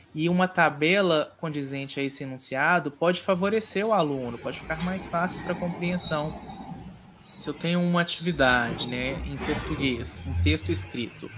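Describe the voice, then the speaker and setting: Slightly high-voiced man giving a lecture. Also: noisy background. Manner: measured, explanatory, frequent hesitation fillers. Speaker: course instructor. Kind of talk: online mini-course lecture.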